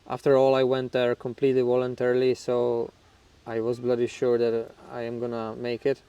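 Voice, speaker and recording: A man with a Czech accent, a native Czech speaker, hosting his own channel and talking frankly and reflectively to camera, with small grammar slips and a few soft laughs. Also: mid-range voice, completely flat delivery, moderate pace, clear, resonant tone, muffled recording. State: somewhat nervous on camera. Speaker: channel host. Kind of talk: candid talk to camera about recovery.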